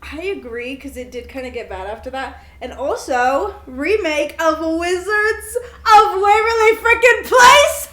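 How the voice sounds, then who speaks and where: A woman's voice: extremely high pitch, animated, unhurried, hardly any pauses, bright, forceful tone. A young woman co-hosting a podcast in casual, giggly, informal conversation with plenty of swearing. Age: young adult. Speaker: podcast co-host. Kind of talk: casual unscripted chat between friends.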